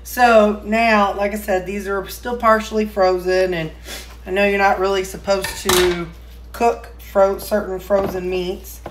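Slow-talking folksy woman in echoey kitchen. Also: medium pitch, little pitch movement, a little hiss or hum. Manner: folksy, rambling. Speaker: home cook vlogger. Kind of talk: casual chat while demonstrating cooking. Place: indoor home kitchen. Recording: roomy, echoey kitchen sound.

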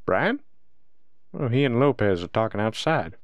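A man's voice: medium pitch, big pitch swings, normal speed, no room sound, highs cut off. A man playing a joking, easygoing werewolf brother in an audio drama, with a playful, teasing manner. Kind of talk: roleplay audio drama acting.

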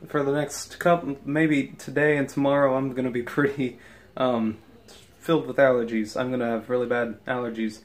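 A man's voice: mid-range voice, level tone, normal speed. A young man vlogging his day to a camera held at arm's length, stuffed up from allergies, rambling with many ums and restarts. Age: young adult. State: congested from allergies. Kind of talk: casual daily vlog. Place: indoors in a house, also outdoors by the house. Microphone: handheld camera at arm's length.

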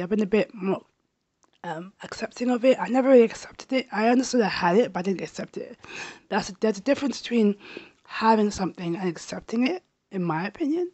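A woman in her thirties, talking to camera as a travel vlogger. She speaks casually, stammers with repeats and restarts, and sounds a bit raspy from getting over a sore throat. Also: mid-range voice, a little mellow, no echo. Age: 30s. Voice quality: slightly raspy. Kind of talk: casual personal talk to camera. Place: small bedroom, indoors. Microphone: handheld lapel mic held near the mouth.

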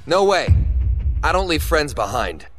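A man's voice: on the high side, big pitch swings, unhurried, fairly bright tone, soft background music. A man voicing a cocky, loyal young human superhero in a video game, casual and wisecracking. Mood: worried, defiant.

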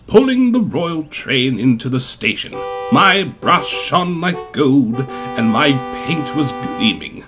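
A grand, self-important big steam engine from a children's story, acted by a man. He boasts and huffs with hugely varied pitch, music playing behind him. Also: huge pitch swings, measured pace, creaky, heavily acted. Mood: boastful. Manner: pompous, grand, huffy.

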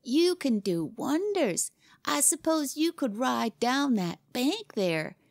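A woman's voice: fairly high pitch, measured pace, a little mellow, no room sound, voice pushed up, lightly acted. A woman voicing a proud, defensive small boy in a storybook, skeptical and stubborn, repeating himself. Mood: needled, mocking. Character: anxious, defiant small boy, proud of his toy. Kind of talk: storybook character reading.

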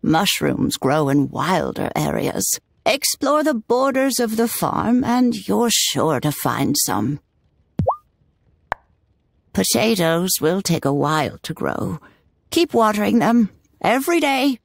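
Creaky, slow old grandmother. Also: medium pitch, sing-song, slight background noise, full character voice. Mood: gentle instructing. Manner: unhurried, instructive. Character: elderly grandmother farmer with slow, kindly advice. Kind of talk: video game voice acting.

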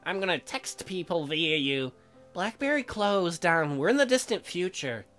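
A man hosts a let's play, switching between reading story text aloud and cracking irreverent jokes. His voice is bright and very animated, with faint music behind it. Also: high-pitched, moderate pace. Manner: joking, irreverent. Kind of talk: game commentary mixed with reading on-screen text aloud.

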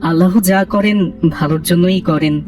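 A woman voicing a devout, loving cartoon father who advises in a measured way. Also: lowish voice, music in the background, natural voice. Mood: earnest, caring.

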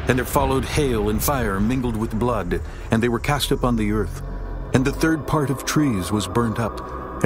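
A man narrating a dramatized scripture reading in a steady, grave voice. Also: on the low side. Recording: narration over music.

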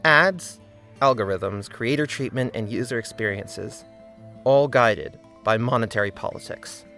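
A man reads a scripted video essay in an earnest, critical way. His voice sits at an ordinary middle pitch, and soft music plays faintly behind him. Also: a little slow, creaky.

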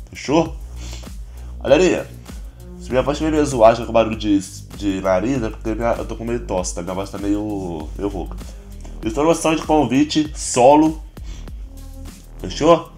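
Hoarse Brazilian man with stuffy nose. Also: medium pitch, moderate pace, pauses often, faint music behind. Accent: Brazilian Portuguese. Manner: relaxed, chatty, constant filler address to viewers. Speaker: gaming YouTuber. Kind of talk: casual gameplay tutorial.

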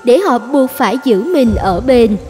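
A woman narrating the moral of an animated fairy tale as a measured storyteller. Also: a little high, faint music behind. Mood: solemn. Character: measured female storyteller giving a fable's moral. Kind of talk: scripted narration.

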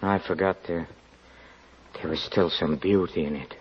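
An actor playing a prideful, poor young writer racked by guilt, with halting, stammering speech, in old-time radio drama style. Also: male voice, low voice, fairly even intonation. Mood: intense, brooding. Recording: dull, noisy old radio recording.

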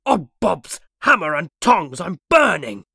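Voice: medium pitch, big pitch swings, a little slow, vocal fry, bright, forceful tone, breathy edge, dry recording, clean studio sound, voice pushed up, heavily acted. A narrator voicing an eager, fawning pirate boatswain in a storybook reading. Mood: alarmed.

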